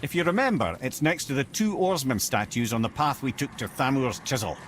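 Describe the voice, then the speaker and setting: Man playing a lively storyteller companion. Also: mid-range voice, fairly quick, a little hiss or hum, close to an everyday voice. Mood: explanatory. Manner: wry, talkative storyteller. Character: chatty, witty old storyteller, male advisor companion. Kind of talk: video game dramatic acting.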